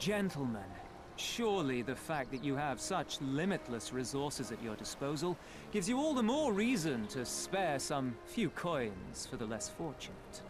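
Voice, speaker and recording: An actor voicing a sly, sardonic pirate officer in a video game, smoothly courteous and wry. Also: male voice, mid-range voice, big pitch swings, a little slow, over music. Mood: mock courtesy. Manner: mock-polite, wry, eloquent.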